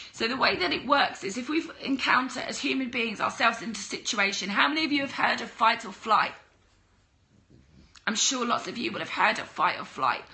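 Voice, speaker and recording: Woman with a croaky cold voice. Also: a little high, pushed and bright, poor audio. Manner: chatty, greets viewers, blunt tough-love advice. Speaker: livestream host giving mindset coaching. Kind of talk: casual livestream talk to viewers.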